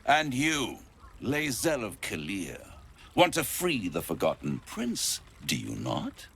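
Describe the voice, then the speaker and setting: An actor playing a suave, scheming devil in a video game, speaking in a silky, grandiose, theatrical manner. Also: male voice, lowish voice, huge pitch swings, slow, gravelly, a bit bright, slight background noise, exaggerated voice. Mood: gloating, sly. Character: suave, scheming male devil offering bargains. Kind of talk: video game cutscene acting.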